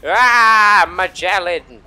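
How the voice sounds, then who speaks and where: Foul-mouthed, slow-talking male gamer. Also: high voice, clear, resonant tone, faint music behind. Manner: foul-mouthed, theatrical, breaks into mock shouts. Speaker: host of a video game playthrough. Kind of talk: rambling gaming commentary.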